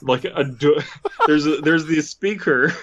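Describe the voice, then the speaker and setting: A man's voice: a little high, unhurried, background noise. A man co-hosting a comedy podcast, talking casually in a rambling, repetitive way. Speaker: podcast co-host. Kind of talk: casual comedic chat and story reading. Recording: dull lo-fi microphone sound.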